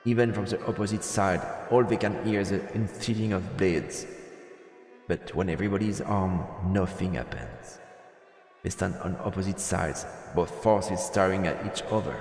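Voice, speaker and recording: A man's voice: low voice, a little slow, a little mellow, echoey room, big-room sound. A man streaming a visual novel, reading the narration aloud as dramatic storytelling. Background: game music.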